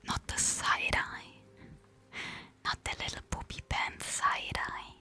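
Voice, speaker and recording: Tired woman streamer whispering asmr style, playful chat, crisp bright hush. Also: slow pace. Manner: soft playful whispering, chatting with viewers. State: tired, recovering from illness.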